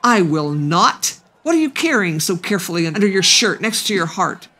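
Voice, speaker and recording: A woman's voice: medium pitch, sing-song, moderate pace, a bit bright, husky, heavily acted. A woman voicing a cruel, demanding big schoolboy with a taunting, pushy manner in a children's storybook. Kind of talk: children's religious storybook reading.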